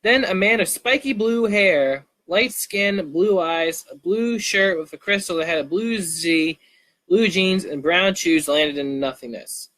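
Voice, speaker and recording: Male live storyteller, fairly high voice, informal reading with small stumbles and restarts. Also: measured pace, bright, forceful tone. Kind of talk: live story reading, animated narration with casual asides.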